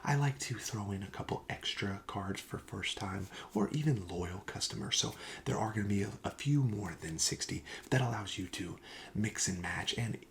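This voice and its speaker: Soft low-voiced man playing a friendly shop clerk. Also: normal speed, a little hiss or hum. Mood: calm, explanatory. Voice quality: soft, breathy, often slipping into whisper. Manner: hesitant, casual, accommodating salesman patter. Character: friendly, reassuring male card shop clerk. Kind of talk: soft-spoken ASMR roleplay.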